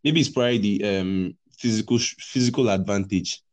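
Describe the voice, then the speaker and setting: Low-voiced Nigerian man, casual rambling. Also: brisk, a little mellow. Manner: loose and rambling, repeats words, laughs often. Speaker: podcast host. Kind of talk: casual chat.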